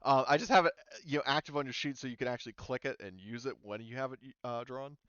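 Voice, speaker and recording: A man's voice: medium pitch, quick pace, fairly bright tone, clear, resonant tone, dry recording, highs cut off. A man running a tabletop roleplaying game as game master, casually explaining rules.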